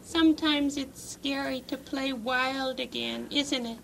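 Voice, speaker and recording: A performer voicing a shy, gentle little boy tiger puppet, childlike and earnest, in a children's television puppet play. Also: high voice, fairly even intonation, measured pace, creaky, slight background noise, heavily acted, low-quality recording.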